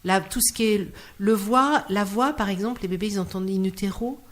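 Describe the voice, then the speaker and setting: A woman with a medium-pitched voice talks as an interview guest. She tells her stories expressively. When she recounts a conversation, she acts out the dialogue. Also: a little fast, a little hiss or hum. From Clermont-Ferrand, France. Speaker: interview guest, singer working in hospitals. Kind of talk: animated anecdotal interview talk.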